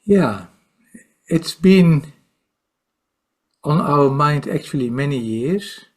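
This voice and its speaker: Slow, halting Dutch-accented man in his sixties. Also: fairly high pitch, lively intonation, darkish tone. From Netherlands. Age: 60s. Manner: slow, halting, with many repeated words and fillers. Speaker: guest, meditation teacher and author. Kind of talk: podcast interview.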